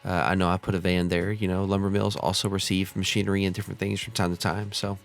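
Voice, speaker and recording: An American man with a slightly hoarse, stuffed-up voice from a cold, giving easygoing, casual tutorial commentary as a channel host. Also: low voice, little pitch movement, fast talker. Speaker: host of a model railroad simulator channel.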